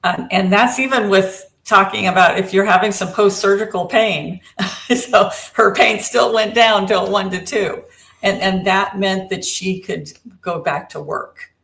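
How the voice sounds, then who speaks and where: A woman therapist co-hosting an online panel, talking in a measured, steady way. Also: mid-range voice, vocal fry. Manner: measured, steady, with frequent affirming murmurs. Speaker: lymphedema therapist and co-host. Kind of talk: casual online panel chat.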